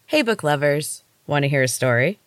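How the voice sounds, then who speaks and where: A woman's voice: low voice, lively intonation, normal speed, loud music behind the voice. A woman hosting a podcast and reading her own fiction aloud as a narrator. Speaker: podcast host and author reading her novel. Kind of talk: audiobook-style story narration.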